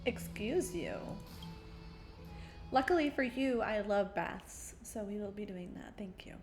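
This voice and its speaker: A woman's voice: mid-range voice, animated, unhurried. A young woman doing casual gameplay commentary in a playful, sassy, slangy manner. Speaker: gaming video creator. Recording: voice over game music.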